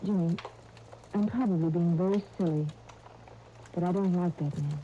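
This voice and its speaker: A woman's voice: lowish voice, moderate pace, mellow, close to an everyday voice. An actress playing a wary, level-headed young woman in a 1930s radio serial. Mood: wary, doubtful. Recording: muffled, hissy 1930s radio disc.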